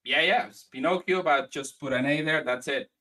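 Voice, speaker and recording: A man from Spain, a guest comic writer chatting in an interview, friendly but halting, restarting his sentences. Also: a little high, sharp tone. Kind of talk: casual interview chat. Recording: distant from the mic.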